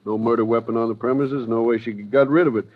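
An actor playing an excitable, plain-talking junior police detective, brisk and colloquial, in a 1950s radio drama. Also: male voice, medium pitch, close to an everyday voice. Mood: brisk reporting. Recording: dull vintage 1950 radio recording.

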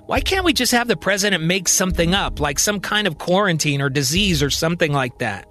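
A man's voice: high voice, lively intonation, a little fast, bright, forceful tone, soft background music. A man voicing an eager, persuasive male schemer in a science-fiction audio story. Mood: eager suggesting. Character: eager male schemer full of bold ideas.

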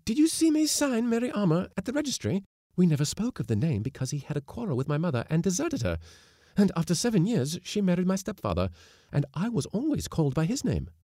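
A man voicing an eager, excited young wife in a storybook reading, with high breathless exclamations. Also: fast talker, no room sound, clean studio sound, heavily acted.

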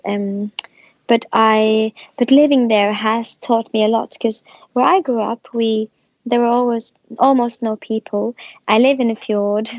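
A young woman speaks in a higher, fairly even voice, musing slowly and stumbling over her words as she reflects. The sound is dry and a little muffled, like a lo-fi recording. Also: no room sound. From Norway. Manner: reflective, soft-spoken, with many restarts. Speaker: musician guest. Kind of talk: casual interview.

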